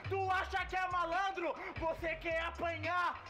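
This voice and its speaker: A young man with a Brazilian accent shouting freestyle rap in a live battle. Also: very high voice, level tone, a little slow, music in the background. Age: young adult. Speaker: battle rapper. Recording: loud beat and crowd, live battle. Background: hip hop beat, loud crowd.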